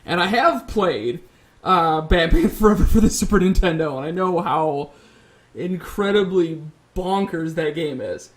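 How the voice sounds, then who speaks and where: A man with a notably high-pitched voice moderates an informal discussion. He talks conversationally, as one panelist among others. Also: expressive, measured pace.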